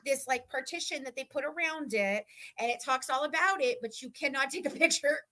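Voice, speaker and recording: A woman guest chatting casually and telling stories in an animated, talkative way. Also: sits high, brisk. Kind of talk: casual chat.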